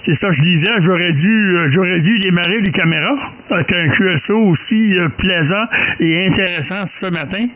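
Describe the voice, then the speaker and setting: A man's voice: high-pitched, normal speed, hardly any pauses, fairly bright tone, highs cut off. A man with a Quebec French accent chatting casually as a radio operator, rambling and hesitant, often repeating himself. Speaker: amateur radio operator leading a group contact. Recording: clearly noisy amateur radio recording with clicks.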